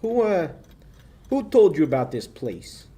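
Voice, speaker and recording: A young actor playing a slick, mocking, menacing racketeer in a 1940s-style radio play. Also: male voice, on the high side, sing-song, measured pace, mellow. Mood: wary, threatening. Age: young adult. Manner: sneering, wisecracking. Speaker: high school actor.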